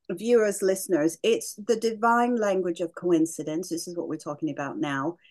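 A woman hosting a podcast, asking questions and addressing listeners in a measured way from a home office over a video call. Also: medium pitch, darkish tone. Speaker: podcast host. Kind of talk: interview questions. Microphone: podcast microphone on stand.

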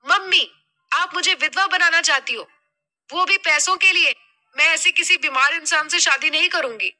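A woman's voice: high-pitched, moderate pace, sharp tone, pitched above the performer's own voice. A woman voicing a vain, spoiled young daughter in an audio drama, with a whiny, indignant, dramatic manner.

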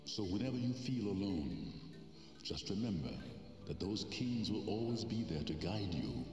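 An actor playing a wise ghostly lion king and father, speaking gravely and solemnly. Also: deep voice, sing-song, normal speed, a little mellow, soft background music. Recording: roomy distant reverb.

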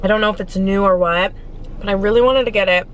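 A woman's voice: medium pitch, steady tone, quick pace, poor audio. Gushing young American woman chatting between bites. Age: 20s. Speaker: food vlogger. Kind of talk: casual chatty eating vlog. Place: inside a parked car. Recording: faint car and street noise.

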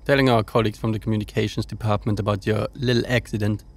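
An actor playing a wry, dutiful officer logging reports on a space station in an audio drama, with dry, teasing delivery. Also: male voice, on the low side, level tone, quick pace. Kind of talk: audio drama log monologue and dialogue.

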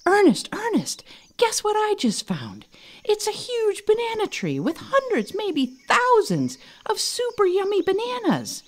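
Storybook monkey, young male, played by a woman: high, excitable, wheedling, very animated. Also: unhurried, full character voice. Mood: excited. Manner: exclamatory, eager, wheedling. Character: mischievous, excitable little boy monkey, eager and pleading. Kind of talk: storybook character voice.

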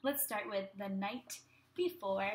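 A young woman teacher reading a storybook aloud to young children in an animated, expressive way, speaking to them directly. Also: mid-range voice, measured pace, a little hiss or hum. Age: young adult. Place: small room at home. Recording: roomy, echoey home webcam sound.

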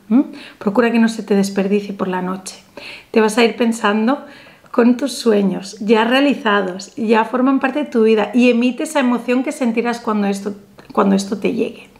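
A woman, teaching simple rituals to the camera, animated and emphatic, slowing down for affirmations. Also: fairly high pitch. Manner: animated, gestures a lot, slow emphatic affirmations. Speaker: spiritual ritual vlogger. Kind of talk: instructional ritual talk to camera. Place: small indoor room with a painted backdrop.

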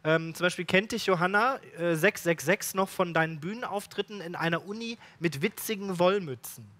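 A man's voice: high voice, brisk, a bit bright. A man co-hosting a stage show, chatting casually and laughing often as he reads out viewer comments. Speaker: co-host reading the chat. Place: stage without audience.

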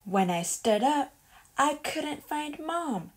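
A woman reads a children's story aloud at a very unhurried pace. Her voice sits fairly high, and she tells it expressively and deliberately, as a storyteller would. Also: animated. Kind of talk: children's picture book read-aloud.

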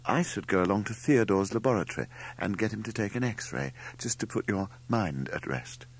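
A man voicing a concerned middle-aged mother in an audiobook, fussy, apologetic and gently scolding. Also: fairly low pitch, animated, moderate pace, gravelly, a little hiss or hum. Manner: fussy, apologetic, well-meaning.

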